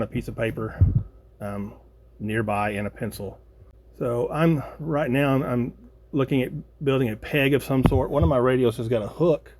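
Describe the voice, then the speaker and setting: Halting American man thinking aloud. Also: mid-range voice, darkish tone. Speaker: hobbyist video host. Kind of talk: casual unscripted project walkthrough.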